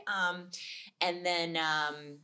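A woman's voice: on the low side, level tone, extremely slow, bright, forceful tone. A woman chatting informally and a little hesitantly as a comedy guest on a podcast. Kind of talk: casual podcast chat.

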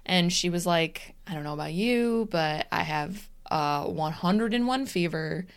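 A woman's voice: on the low side, animated, measured pace, clean tone. A young woman co-hosting a podcast, telling a story in casual chat and acting out her own texts. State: recovering from vaccine side effects. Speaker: podcast co-host.

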